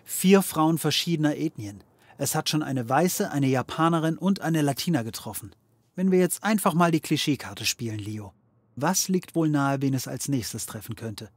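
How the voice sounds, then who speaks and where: An actor playing a cocky, scheming occult detective in a comedy audio drama, wry and theatrical. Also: male voice, fairly high pitch, expressive, moderate pace, dry recording. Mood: sardonic, patronising. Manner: wry, theatrical, mock-patronising.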